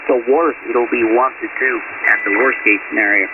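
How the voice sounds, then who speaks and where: A man talking casually and somewhat haltingly as an amateur radio operator, his voice coming through a shortwave radio transmission. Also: mid-range voice, fairly even intonation. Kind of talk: casual ham radio contact. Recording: noisy narrow-band shortwave radio signal. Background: loud radio static.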